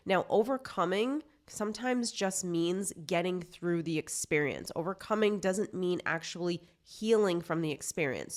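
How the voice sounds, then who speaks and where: Congested woman, chatty self-help host. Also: fairly low pitch. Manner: chatty, earnest, lots of "like" fillers. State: congested, recovering from a cold. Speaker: life coach and podcast host. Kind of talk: casual self-help talk to camera.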